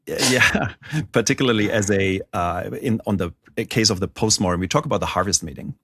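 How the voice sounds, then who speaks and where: A middle-aged man from Germany with a German accent, telling stories as an interview guest in a lively, rambling way. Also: lowish voice, a little fast, noisy background. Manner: animated storyteller, frequent "like" and restarts. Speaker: guest author. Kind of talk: conversational interview.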